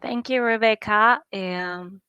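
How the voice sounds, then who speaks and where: A woman in her twenties from Chile moderating an online panel, friendly with slightly halting phrasing. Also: medium pitch, pushed and bright, clear, resonant tone, no echo, muffled recording. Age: 20s. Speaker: moderator, student.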